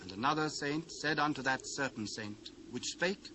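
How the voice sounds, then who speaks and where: A man's voice: medium pitch, moderate pace, noisy background. Solemn British male scripture narrator. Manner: solemn, formal storytelling.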